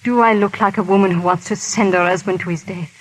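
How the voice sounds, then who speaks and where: A woman's voice: medium pitch, fairly even intonation, fast, natural voice. A woman playing a proud, guilt-ridden aristocratic wife in a theatrical, urgent 1930s radio drama style. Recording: crackly, hissy 1930s radio recording.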